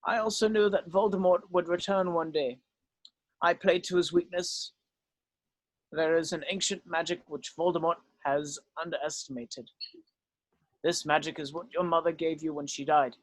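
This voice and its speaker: A man voicing a weary, gently remorseful old wizard headmaster in a script read-through, speaking in a measured, solemn way. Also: a little high, fairly even intonation, no echo, natural voice, rough recording. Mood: heavy, confessional.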